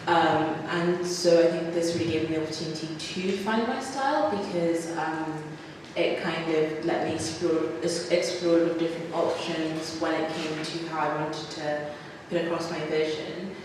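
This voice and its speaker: A woman's voice: sits low, steady tone, moderate pace. Young woman trainee on a panel, says "kind of" a lot, far from the mic, big echoey room, some noise. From Nigeria. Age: 20s. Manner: thoughtful, many fillers like "kind of". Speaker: photography trainee. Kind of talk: panel discussion answers.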